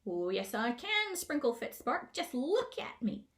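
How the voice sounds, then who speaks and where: A woman's voice: a little high, sing-song, unhurried, a bit bright, voice pushed up. A woman voicing a self-important male gnome king, bellowing bossy demands like a child in a tantrum, in a storybook reading. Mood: boastful, defiant.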